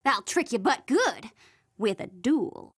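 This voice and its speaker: A woman's voice: on the high side, big pitch swings, slow pace, breathy edge, no room sound, studio quality, exaggerated voice. An actress playing a feisty, combative girl in a video game, bold and emphatic. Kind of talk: scripted video game character voice lines.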